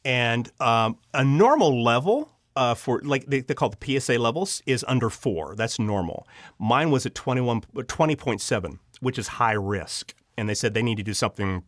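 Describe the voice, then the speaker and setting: An enthusiastic man tells stories as an interview guest, his pitch moving freely as he slips into bits of quoted dialogue. He sits in a mid-range male register. The recording is dry and close, with hardly any room on it. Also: animated, moderate pace, no room sound. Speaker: interview guest, audio drama writer and director. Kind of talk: animated anecdotal storytelling.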